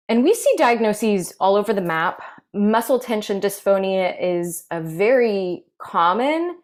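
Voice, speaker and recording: A woman voice clinician giving measured, explanatory interview answers with frequent fillers. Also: medium pitch, darkish tone. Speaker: voice clinical specialist.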